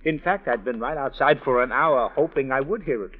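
An actor playing a smooth, cocky schemer with an insinuating, wisecracking manner in an old-time radio drama. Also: male voice, medium pitch, a little fast, natural voice. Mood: smug, insinuating. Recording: tinny, narrow-band vintage radio with music.

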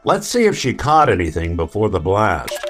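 World-weary private eye, low voice, terse n deliberate, radio mystery, faint music under it. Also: male voice, wildly swooping pitch, fairly bright tone, full character voice. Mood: terse, wry. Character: world-weary hard-boiled male detective. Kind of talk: old-time radio detective drama.

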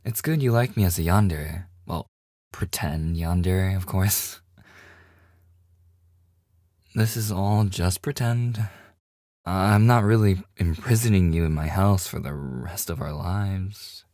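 A man plays a devoted boyfriend with a hidden obsessive streak in an ASMR roleplay. His voice is low and very close and dry. He talks slowly and intimately, with hesitant stammers. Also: darkish tone, no room sound. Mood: teasing. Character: devoted, nervously joking boyfriend hiding obsessive yandere streak. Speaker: voice actor.

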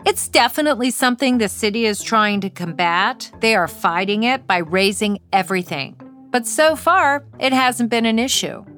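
A woman's voice: on the high side, animated, normal speed, fairly bright tone, faint music behind, pitched above the performer's own voice. A woman reading out the lines of a polite, assured, stern male real estate agent in an essay.

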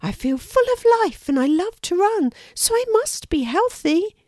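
Storybook squirrel, young female, played by a woman: small high voice, shy and earnest, lilting delivery, dry close sound. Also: big pitch swings, normal speed, a little mellow, dry recording. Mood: earnest, upbeat. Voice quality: little, thin voice. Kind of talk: children's storybook character voice.

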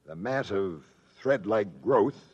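An actor playing a cool, confident alien commander in a 1950s radio drama, authoritative and deliberate. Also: male voice, fairly low pitch, lively intonation, husky, dull audio, full character voice. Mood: curious, calm.

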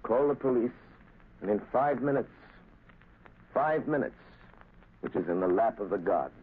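An actor playing a resolute, grave crime-fighting hero in 1930s radio drama style, with a dramatic, commanding delivery. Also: male voice, medium pitch, expressive, normal speed, husky. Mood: grave, insistent. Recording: muffled scratchy 1930s radio recording.

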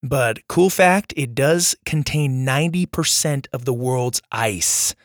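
A man's voice: medium pitch, slow pace, no room sound. Man with strained voice, chatty and dry-miked. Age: adult, father of young children. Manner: enthusiastic, chatty, informal. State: strained voice, recovering from nearly losing it. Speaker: host and storyteller of a children's podcast. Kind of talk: casual podcast outro chat to young listeners.

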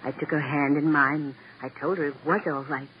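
A man plays a sentimental showbiz booking agent in an old radio crime drama. The voice is fairly high, plaintive and rambling, on a muffled recording with faint hiss. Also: steady tone, brisk, natural voice. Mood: mournful, wistful.

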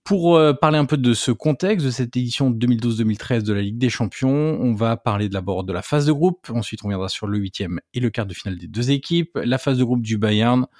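A man's voice: mid-range voice, a little fast, hardly any pauses, darkish tone, no echo, studio quality. A man hosting a football podcast who lays out the episode plan in an orderly way. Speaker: podcast host.

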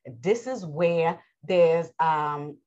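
A woman teaching a scripture lesson in an emphatic, preachy way, her voice raspy and strained, often clearing her throat. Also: low voice, lively intonation, very slow, darkish tone, muffled recording. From United States. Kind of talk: bible lesson with commentary.

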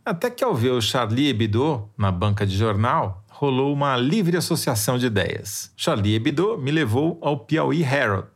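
Man from Brazil, mid-pitched voice, co-host, dry humor, reads email at home. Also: big pitch swings, normal speed, hardly any pauses, breathy edge. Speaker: podcast co-host. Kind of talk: reading listener email, casual chat. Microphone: remote home mic.

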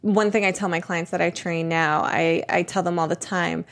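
A woman's voice: lowish voice, steady tone, moderate pace, fairly bright tone. A woman from Boston with an American accent, chatting casually and telling stories with lots of "you know" and "like". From Boston, United States. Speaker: fitness trainer and TV show participant. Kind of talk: casual chatty show recap.

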